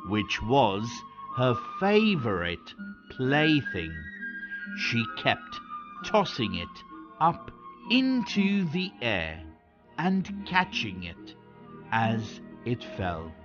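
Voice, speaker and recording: Very slow, expressive fairy tale storyteller. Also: medium pitch, muffled recording. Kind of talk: scripted children's fairy tale narration. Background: music.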